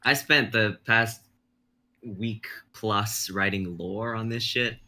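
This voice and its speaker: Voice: medium pitch, fairly even intonation, slow pace, bright, forceful tone. A writer chatting casually about the lore they wrote, laughing often. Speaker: writer in a live reading group. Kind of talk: casual chat.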